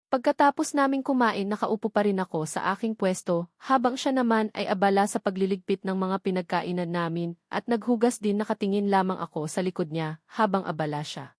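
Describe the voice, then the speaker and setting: A Filipino woman narrates a romance novel in the first person. Her voice sits at an ordinary female pitch, reading from a script. The sound is close and dry, with no room echo. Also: darkish tone. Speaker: audiobook narrator.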